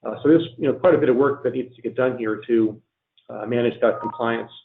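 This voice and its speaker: A man presenting on a tax webinar, halting and full of ums and restarts, a bit hoarse from losing his voice. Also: mid-range voice, a little hiss or hum. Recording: echoey, tinny phone-line audio.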